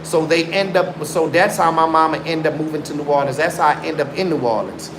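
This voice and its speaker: Man around 40, from South Africa, high-ish voice, insistent live stream chat, echoey room with AC hum. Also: a little fast. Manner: insistent, swears oaths, mixes in Afrikaans. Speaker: livestreamer. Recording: roomy sound with background noise.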